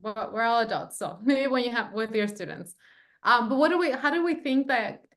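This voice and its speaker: A woman's voice: on the high side, lively intonation, moderate pace, fairly bright tone. Woman, facilitator, video call, conversational, fillers, asks "right?". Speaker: workshop facilitator. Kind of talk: leading a Zoom training session.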